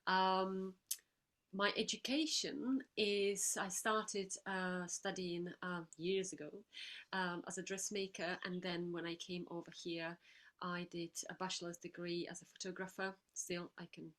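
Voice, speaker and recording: A woman's voice: fairly low pitch, little pitch movement, unhurried, fairly bright tone. A woman from the Czech Republic with a Czech accent, a guest in a casual interview who speaks hesitantly with many fillers.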